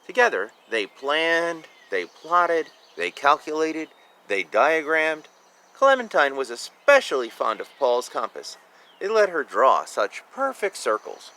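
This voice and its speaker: Animated man reading a children's storybook. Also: a little high, measured pace. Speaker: reader of a children's picture book. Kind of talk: read-aloud storytelling with casual asides about the pictures.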